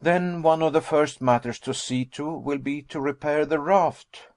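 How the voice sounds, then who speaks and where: A man's voice: on the high side, measured pace, close to an everyday voice. A man voicing an anxious, tired young man who speaks hesitantly and plaintively. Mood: uneasy. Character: weary anxious young man, the professor's nephew.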